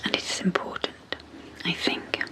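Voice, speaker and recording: A woman speaking softly and breathily in a gentle, measured ASMR sleep hypnosis style, leaving long pauses between short phrases. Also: rough recording. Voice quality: soft, breathy, near-whispered. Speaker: psychologist hosting an ASMR channel. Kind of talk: soft-spoken ASMR sleep hypnosis introduction.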